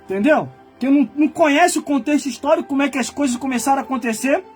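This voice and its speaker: A man in his forties from Brazil, a pastor preaching a fiery sermon that swings from quiet muttered asides to loud shouted warnings. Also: extremely high pitch, rapid-fire, faint music behind. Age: 40s. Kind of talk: fiery Pentecostal-style sermon.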